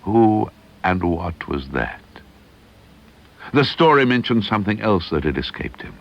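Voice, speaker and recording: A man giving a measured, suspenseful reading of a ghost story, slowing and hushing at the eerie moments, on an old tape recording. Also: fairly low pitch, very animated, vocal fry. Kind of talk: dramatic audiobook narration. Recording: dull lo-fi archival tape digitised from cassette.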